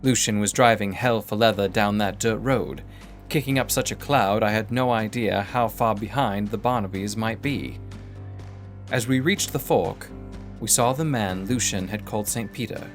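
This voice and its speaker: A man's voice: on the low side, normal speed, soft background music. A man performing scripted first-person narration of a dramatic story.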